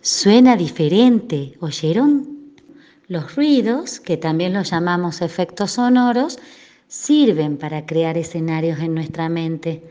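A woman with an Argentine accent teaching in a didactic, expressive way, explaining and addressing her listeners, on slightly dull lo-fi audio. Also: lowish voice, slow. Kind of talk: educational explanation with spoken examples.

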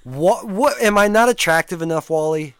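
A man's voice: a little high, moderate pace, a bit bright, clean tone, no echo, clean studio sound. A man hosting a podcast, crude and joking, laughing often in casual chat. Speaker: podcast host.

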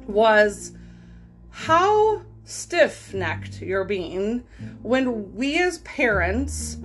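A woman's voice: mid-range voice, very animated, extremely slow, faint music behind. A woman hosting a podcast, reading online posts aloud cold and then giving her frank take on them. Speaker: podcast host.

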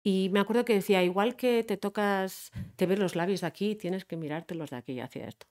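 A woman from Spain chatting as an interview guest, telling animated stories and quoting other people. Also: medium pitch, fairly quick. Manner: animated storyteller, quotes others, laughs often. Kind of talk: casual interview chat.

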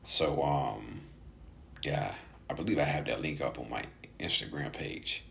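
A man who stutters, speaking casually in a halting personal monologue with frequent gaps and hesitations, as a podcast host. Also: rumbling low, vocal fry, fairly bright tone.

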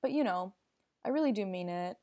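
A woman's voice: medium pitch, lively intonation, fairly quick, dark tone. A young woman hosting a solo podcast, talking in an earnest, opinionated way that often wanders off on tangents. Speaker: podcast host. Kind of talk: casual solo podcast monologue.

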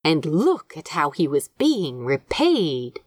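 Expressive, unhurried woman reading a story. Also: deep voice, no room sound, studio quality. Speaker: fan fiction narrator. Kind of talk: scripted story narration.

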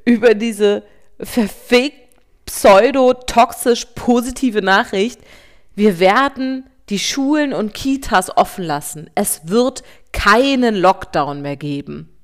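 A woman in her thirties co-hosting a podcast as a parent, talking fast and getting worked up, sometimes turning sarcastic. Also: mid-range voice. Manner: fast, agitated, sarcastic when upset. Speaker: podcast co-host. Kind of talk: casual podcast chat.

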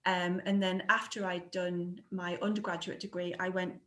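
A woman in her thirties, a scientist guest in an interview, explaining her path in a clear, measured way. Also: fairly low pitch, flat delivery, a bit bright. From England. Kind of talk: interview answers.

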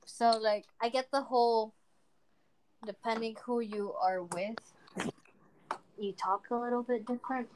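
Woman co-host with a Venezuelan Spanish accent, native Spanish speaker, slightly high voice, rambling story-driven chat. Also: steady tone, measured pace, pauses often, background noise. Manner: chatty storyteller, lots of fillers. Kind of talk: casual chat.

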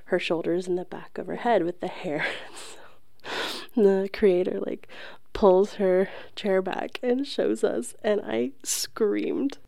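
A woman's voice: mid-range voice, takes it slowly, creaky, mellow, dry recording, studio quality. A woman co-hosting a podcast in casual comedic chat, candid and often amused, swearing freely. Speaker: podcast co-host.

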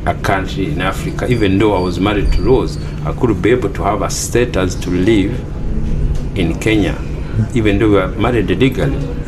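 Deep-voiced Rwandan man, halting English. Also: noisy background. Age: middle-aged. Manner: halting, non-native English with repetitions. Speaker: podcast guest, father of the host. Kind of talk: casual reminiscing interview.

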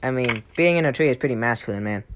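A man's voice: mid-range voice, lively intonation, quick pace, a bit bright. Rambling, jokey young man with a Maine accent. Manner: rambling, jokey, laughs often. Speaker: podcast host. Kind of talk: casual chat among friends. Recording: noisy home recording.

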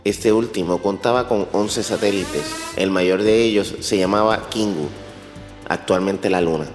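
A man's voice: on the low side, measured pace. A man narrating a scripted documentary as a storyteller of ancient matters. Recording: roomy long reverb over faint music. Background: faint music.

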